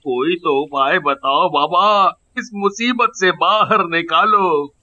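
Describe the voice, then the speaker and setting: A man's voice: on the high side, slow pace, pushed and bright, slight background noise, full character voice. A man playing a frightened, pleading village merchant in a cartoon moral story, dramatic in delivery. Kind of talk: animated Hindi moral story acting. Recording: dull lo-fi audio.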